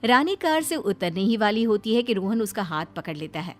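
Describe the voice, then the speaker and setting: A woman's voice: medium pitch, big pitch swings, normal speed, faint music behind. A woman narrator reading a scripted family moral story for a cartoon video.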